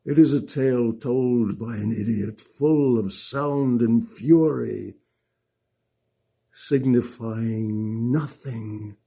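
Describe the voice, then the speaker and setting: A man playing a weary, despairing king in a Shakespearean soliloquy, with a gravelly, husky voice and measured delivery. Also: mid-range voice, warm tone, full character voice. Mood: bitter. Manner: slow, measured. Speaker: amateur actor.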